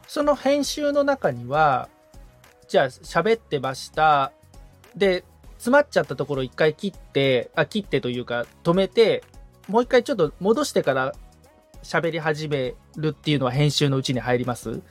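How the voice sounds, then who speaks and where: Male podcast trainee: slightly high voice, very varied pitch, agreeable, polite and conversational, music underneath. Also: expressive, normal speed. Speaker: podcast assistant and trainee being coached.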